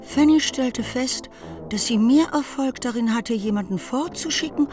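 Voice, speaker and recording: A woman's voice: fairly high pitch, a little slow. A woman reading scripted literary narration as the narrator of a radio play. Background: music.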